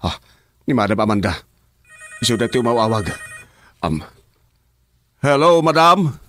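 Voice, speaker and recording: A man's voice: medium pitch, sing-song, measured pace, a bit bright, slight background noise. A man with an Ilocano accent playing a dutiful, deferential underling in a radio drama. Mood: casual. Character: dutiful male underling reporting to his boss's wife.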